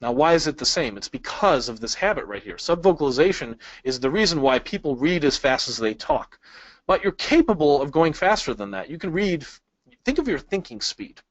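A man's voice: a little high, very animated, a little fast. A man teaches a webinar from a small room over a webcam, friendly and full of questions for his audience. The sound is dry and a little dull, with no room echo and a muted top end. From Chicago, US. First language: Polish. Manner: friendly, asks the audience questions, light jokes. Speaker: speed-reading instructor. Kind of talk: webinar lesson with slides. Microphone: webcam inset.

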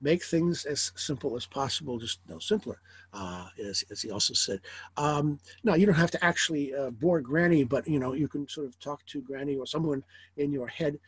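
A man's voice: on the high side, normal speed, slightly rough, no echo. An older man, a college professor, giving a rambling recorded lecture over slides from his home study on a webcam mic, with dry asides.

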